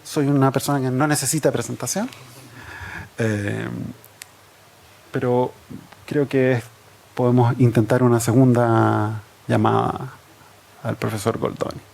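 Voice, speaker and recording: A man moderates a university seminar, reading out the speakers' credentials in a halting way with many fillers. His delivery is measured and formal. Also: medium pitch, steady tone, vocal fry, darkish tone. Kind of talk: introducing speakers.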